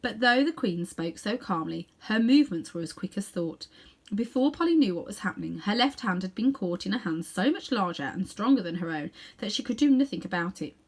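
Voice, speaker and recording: A woman reading a story aloud to children, expressive and dramatic, with chatty asides. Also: mid-range voice, a little fast, breathy edge.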